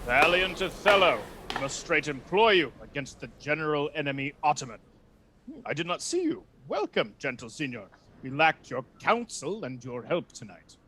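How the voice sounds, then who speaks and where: A man's voice: fairly high pitch, sing-song, normal speed, bright, forceful tone. A man playing an authoritative, courteous Duke of Venice in a Shakespearean radio play, speaking formally and decisively. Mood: courteous, brisk. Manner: formal, commanding. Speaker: amateur actor in an online radio play. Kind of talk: Shakespearean verse acting for radio. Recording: faint background noise on online call.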